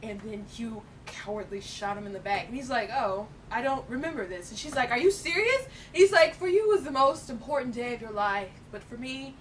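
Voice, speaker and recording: A young woman co-hosting a geek show in casual, opinionated chat, with an animated, giggly, emphatic manner. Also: brisk, hardly any pauses. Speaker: co-host of a geek culture show. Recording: distant mic with hissy, rumbly noise.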